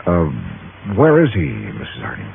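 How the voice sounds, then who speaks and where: An actor playing a hard-driving insurance investigator in a 1950s radio drama, with clipped, urgent, hard-boiled delivery. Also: male voice, deep voice, wildly swooping pitch, normal speed, creaky, a little hiss or hum, exaggerated voice. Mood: guarded. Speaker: lead actor and narrator. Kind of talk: 1950s radio detective acting. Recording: dull 1950s radio recording, music behind.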